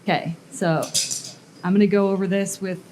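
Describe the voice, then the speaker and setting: A woman explains her metalworking steps to an onlooking crowd in a workshop. She talks casually and thinks out loud, trailing off and restarting sentences as she works. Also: mid-range voice, steady tone, moderate pace, background noise. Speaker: blacksmith and sculptor giving a live demo. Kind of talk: live workshop demonstration to an audience. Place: metal workshop at a smithing event.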